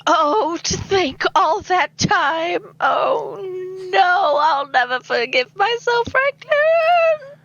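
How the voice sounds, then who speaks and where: A woman's voice: very high voice, big pitch swings, very slow, fairly bright tone, dull audio, full character voice. A woman playing a genteel, melodramatic British lady in an amateur radio play, with theatrical delivery. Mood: grief-stricken. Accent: put-on British. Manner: theatrical, dramatic exclamations.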